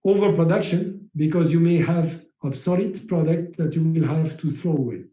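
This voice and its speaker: French-native male lecturer, mellow tone, halting delivery with fillers, echoey room. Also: fairly high pitch, highs cut off. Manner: slow, halting, many uh fillers. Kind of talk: slide-based lecture.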